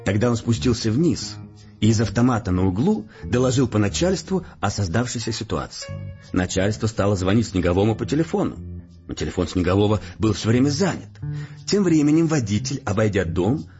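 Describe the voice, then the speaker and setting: A low-pitched actor playing a male police investigator in an audio drama, officious and insinuating. He speaks with mock courtesy and deliberate menace, his intonation swinging widely. Music sits faintly behind the slightly dull recording. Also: lively intonation, warm tone, lightly acted. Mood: officious, methodical. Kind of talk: Russian audio play acting. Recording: dull-sounding audio play with background music.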